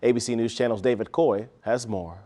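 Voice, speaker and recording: Male sports anchor, mid-range voice, scripted highlights for a TV newscast. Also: a little fast, warm tone, a little hiss or hum.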